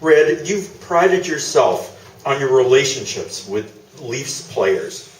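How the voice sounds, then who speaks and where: A man's voice: medium pitch, expressive, takes it slowly. A man playing a courteous, formal radio sports broadcaster in a live stage radio play. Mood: courteous, probing. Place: small theatre, live performance. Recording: distant, echoey, faintly noisy theatre recording.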